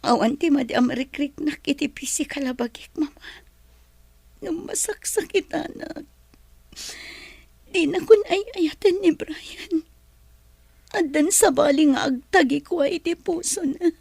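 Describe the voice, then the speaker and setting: A woman's voice: high-pitched, unhurried, creaky, pauses often, a little mellow, no echo. A woman playing a heartbroken, regretful young woman in a radio drama, pleading and tearful, with a Filipino Ilocano accent. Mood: tearful, hurt.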